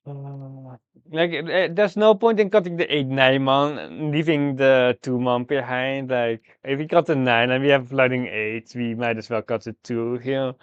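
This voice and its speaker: A man live-streaming a game, thinking aloud through his moves in a halting, fragmented way. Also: mid-range voice, clean tone, no echo, muffled recording. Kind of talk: live mahjong gameplay commentary, thinking aloud.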